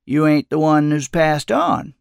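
A kindly old man of the road, acted out by a male narrator, pitched a little high with some creak in the voice. He talks in a calm, folksy, soothing way, like a storybook mentor, close and dry. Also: a little slow, no echo. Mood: gentle, probing. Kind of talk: audiobook character dialogue.

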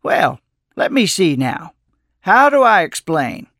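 A man's voice: sits high, big pitch swings, fairly bright tone, no room sound, clean studio sound, pitched above the performer's own voice, heavily acted. Man voicing a folksy, lilting old wanderer. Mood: genial, teasing. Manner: unhurried, soothing, folksy. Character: kindly, calm, folksy old male wanderer. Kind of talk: audiobook character dialogue.

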